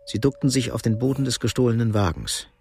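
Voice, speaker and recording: Casting: man to narrate a radio thriller, mid-pitched, restrained and measured, with light music behind the voice. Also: darkish tone. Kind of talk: scripted radio drama narration.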